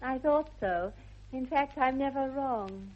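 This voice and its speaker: A woman's voice: high-pitched, slow. An actress playing a self-assured young upper-class English society lady in a 1960s radio comedy, speaking with arch precision. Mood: assured, romantic. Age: young adult. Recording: slightly dull vintage radio recording.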